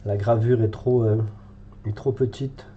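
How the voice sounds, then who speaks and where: A man's voice: fairly low pitch, fairly even intonation, unhurried, dull audio, background noise. A French man with a cold, thinking aloud with many fillers as he paints live in a hobby tutorial. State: has a cold, congested.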